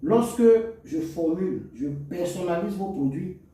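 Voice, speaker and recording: A man's voice: high voice, a little slow, dark tone. A man giving an instructional product talk to camera as a seller, in a didactic way, repeating and listing his points. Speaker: cosmetics formulator and seller presenting to resellers. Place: echoey indoor room. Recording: very echoey room, distant microphone.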